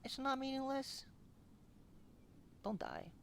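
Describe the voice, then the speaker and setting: Casting: female game streamer, unhurried, caring little interjections, casual, very noisy home recording with clicks. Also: sits high, wildly swooping pitch, creaky. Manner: short, sympathetic interjections. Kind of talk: casual live reactions to a story game.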